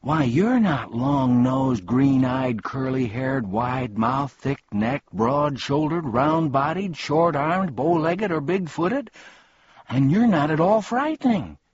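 A man voicing an earnest, indignant young boy in a storybook, quick to complain. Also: medium pitch, sing-song, slightly rough, muffled recording, voice pushed up, heavily acted. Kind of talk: storybook character voice.

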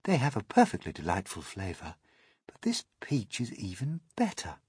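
A man voicing a prim, gentle female ladybird who speaks with refinement in a storybook. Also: on the low side, huge pitch swings, moderate pace, breathy edge, dry recording, clean studio sound, voice pushed up, heavily acted. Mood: contented.

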